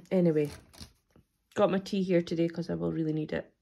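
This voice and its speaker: Husky, chesty Scottish woman rambling. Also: fairly low pitch, moderate pace, dark tone. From Scotland. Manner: rambling, friendly, many ums. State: chesty, coming down with a cold. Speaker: budgeting vlogger. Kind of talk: casual chatty to-camera talk. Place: at a wooden table indoors.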